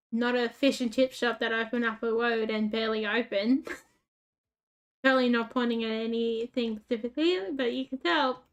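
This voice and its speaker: Chatty rural Australian woman, higher voice. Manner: rambling, filler-heavy, self-mocking. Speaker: gaming YouTuber. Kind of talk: casual gameplay chat.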